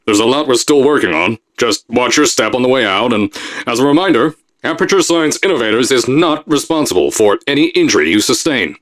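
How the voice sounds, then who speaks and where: Actor as a brash boastful company boss, barking pre-recorded announcements for a game. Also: male voice, medium pitch, natural voice. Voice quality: loud, boastful salesman bluster.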